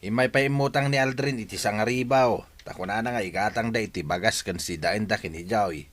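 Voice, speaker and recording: A man playing a gossipy, sympathetic neighbour, chatty and expressive, in an audio drama. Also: on the low side, a little fast. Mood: gossipy, disapproving. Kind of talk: Ilocano radio-style audio drama acting.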